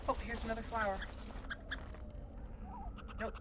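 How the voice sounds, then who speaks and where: A woman's voice: a little high, flat delivery, unhurried, background noise. A woman casually commenting on her role-playing game as she plays, thinking aloud and reading out the game's text. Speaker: let's play host.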